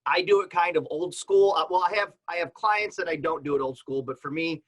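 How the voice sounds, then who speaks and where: A man's voice: fairly high pitch, brisk. A man giving casual, conversational explanations as a podcaster on a panel, illustrating points with examples.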